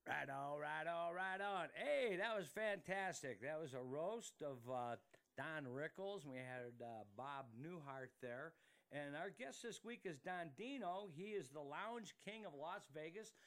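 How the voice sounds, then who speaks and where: A man's voice: fairly high pitch, expressive, unhurried, creaky, a bit bright. A man hosting a talk show in a casual, chatty way, often exclaiming and repeating himself as he interviews and plugs sponsors.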